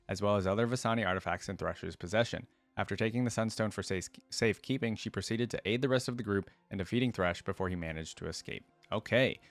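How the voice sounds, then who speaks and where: A man's voice: lowish voice, no room sound. A man hosting a reaction video, reading written lore aloud and breaking off for casual commentary. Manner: casual asides while reading.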